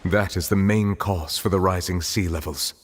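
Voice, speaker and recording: A low-voiced, solemn man of high office, formal and measured in every phrase. It is video game drama, with a long reverberant tail and faint noise. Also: fairly even intonation, slightly rough. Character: solemn, dignified, formal male judge, calm and grave.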